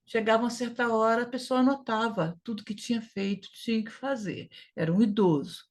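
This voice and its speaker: A woman's voice: fairly high pitch, fairly even intonation, fairly quick. A woman with a Brazilian Portuguese accent giving an informal English lesson, sounding out syllables emphatically and repeating key words. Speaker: English teacher.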